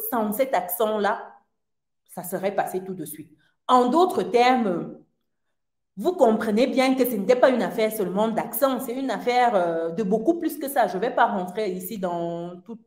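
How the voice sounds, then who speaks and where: A woman's voice: mid-range voice, a little mellow. Earnest woman in a roomy echo. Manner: earnest, laughs between phrases, many fillers. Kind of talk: personal testimony, casual talk.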